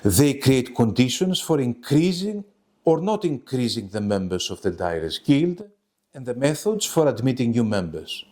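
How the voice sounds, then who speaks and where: A man delivers a scholarly webinar lecture in a formal, deliberate manner. His intonation is very animated, rising and falling widely as he stresses key phrases. The delivery sounds partly read from prepared text. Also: medium pitch. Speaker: lecturer presenting a research webinar. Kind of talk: academic presentation, partly read from a script.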